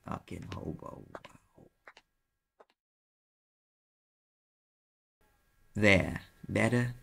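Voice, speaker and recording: A man voicing a sarcastic demon private eye in an audio roleplay, with a New York accent and a gravelly, husky voice. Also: on the low side, a little hiss or hum, heavily acted. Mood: grumbling. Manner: sardonic, casual, swearing, defensive. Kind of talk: audio roleplay acting, conversational.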